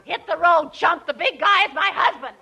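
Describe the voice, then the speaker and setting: A man's voice: normal speed, gravelly. A man doing a cooing French mademoiselle. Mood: brusque. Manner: falsetto, mock-French cooing. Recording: noisy old radio broadcast.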